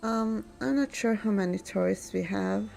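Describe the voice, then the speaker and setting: A woman's voice: mid-range voice, unhurried, gravelly, a little mellow. A woman with a Norwegian accent chatting casually, rambling with lots of fillers. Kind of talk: casual chat.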